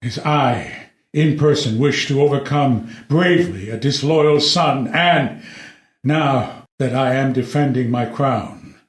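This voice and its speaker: A man's voice: mid-range voice, takes it slowly, close to an everyday voice. An actor playing a grave, proud old king, declaiming solemnly in a classical drama reading. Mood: resolute. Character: grave, proud old king lamenting his ruined realm. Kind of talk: unrehearsed table read of classical verse drama. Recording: echoey room.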